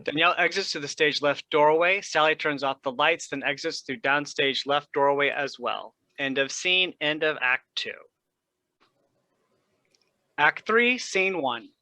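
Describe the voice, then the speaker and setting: Male stage directions reader: scripted narration, mid pitch, bright forward tone, dry close sound. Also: measured pace, no echo.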